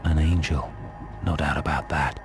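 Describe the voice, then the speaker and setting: Hardened gunman, extremely deep voice, sardonic, world-weary, brooding game narration, music behind. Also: steady tone, moderate pace. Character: cynical, hardened dead gunman stranded in the afterlife.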